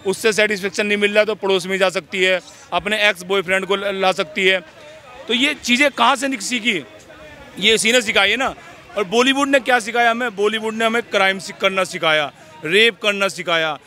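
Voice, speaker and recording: A man's voice: high voice, fairly quick, sharp tone, a faint crowd. A man giving his opinions in a street interview, preachy and constantly checking in with short rhetorical questions.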